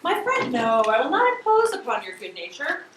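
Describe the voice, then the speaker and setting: A woman narrating classic fiction in an amateur dramatic reading, theatrical, with drawn-out emphasis. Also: a little high, huge pitch swings, fairly bright tone. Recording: very echoey room, distant mic, faint hiss.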